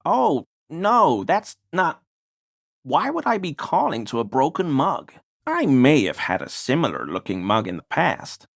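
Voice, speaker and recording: A man's voice: a little high, wildly swooping pitch, unhurried, vocal fry, dry recording, clean studio sound, heavily acted. An actor playing a paranoid, furtive deliveryman in a video game, conspiratorial and evasive, deferential yet smug. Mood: defensive, apologetic. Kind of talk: video game character dialogue.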